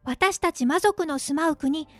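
A tiny, squeakily high girl wizard of demon race, earnest and very polite, easily flustered. Loud music runs behind her anime radio drama lines. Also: unhurried, dry recording. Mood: earnest, shy.

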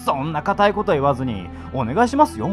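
A man's voice: a little high, sing-song, music in the background. A man playing a masked villain interrogator in a radio drama, drawling with theatrical mock courtesy. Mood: teasing, menacing. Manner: drawn-out, mock-courteous delivery. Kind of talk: amateur radio drama acting.

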